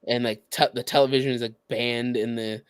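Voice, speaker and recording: A man co-hosting a podcast, explaining things casually in a halting, searching way. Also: medium pitch, flat delivery, clean tone, no echo. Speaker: podcast co-host.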